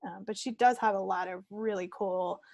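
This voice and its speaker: A woman's voice: medium pitch, fairly even intonation, moderate pace, darkish tone, no echo. A woman co-hosting a podcast, talking in an informal, folksy, chatty way. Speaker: podcast co-host. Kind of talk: casual chat.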